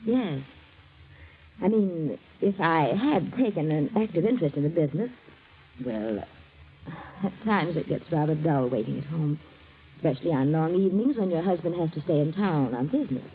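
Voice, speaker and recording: Poised scheming american wife, genteel and pointed, lowish swoopy voice, old radio play. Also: female voice, expressive, normal speed. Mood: veiled resentment. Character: poised, scheming wife of a businessman, falsely polite. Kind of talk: 1940s radio acting. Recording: muffled, noisy old radio recording.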